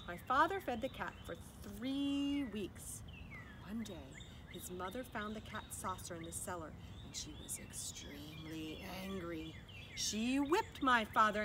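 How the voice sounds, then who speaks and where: A woman reading aloud to children in an expressive storytime style, addressing young listeners. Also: mid-range voice, noisy background. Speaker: storytime reader. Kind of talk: animated children's read-aloud narration.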